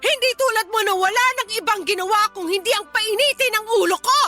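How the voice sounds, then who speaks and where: A woman's voice: extremely high pitch, fast talker, bright, forceful tone, soft background music. A woman playing a bitter, hot-tempered mother in an emotional radio drama, scornful and defensive. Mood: furious, scornful.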